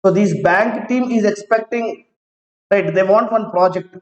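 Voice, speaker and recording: A man teaching an online class, talking slowly, repeating each phrase and checking that students follow. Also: high voice. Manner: slow, repeats each phrase several times; asks students to confirm they follow. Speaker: IT trainer. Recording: roomy, dull lo-fi online class audio.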